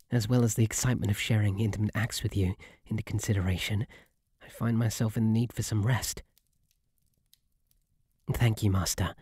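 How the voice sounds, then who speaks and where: A man with a British accent voicing a shy, devoted, submissive bunny butler in an intimate ASMR roleplay, soft, breathy, halting and deferential. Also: fairly low pitch, no echo, clean studio sound.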